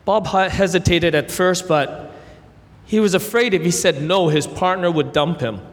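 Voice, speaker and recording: Earnest man preaching in a reverberant hall. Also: high-pitched. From Hong Kong, raised in Canada. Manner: earnest, gentle storytelling with brief pauses. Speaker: guest preacher. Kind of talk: sermon with prayer and illustrations.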